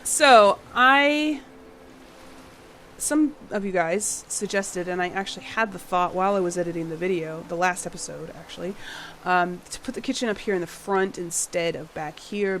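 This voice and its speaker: A woman hosting a game-building channel, chatting casually while she plays. Her voice is a little husky from a cold she is getting over, and she sounds tired and late-night. She apologizes often and laughs a lot. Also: fairly low pitch, moderate pace, fairly bright tone, a little hiss or hum. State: slightly husky from a fading cold. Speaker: gaming channel host. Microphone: home voice mic.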